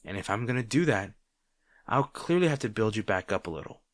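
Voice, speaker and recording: A man playing a grudgingly protective supervillain rival in an intimate audio roleplay, sarcastic and exasperated but caring, speaking haltingly to the listener. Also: on the low side, expressive, no echo, studio quality, natural voice. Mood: exasperated, insistent. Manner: halting, sighing, exasperated yet soft.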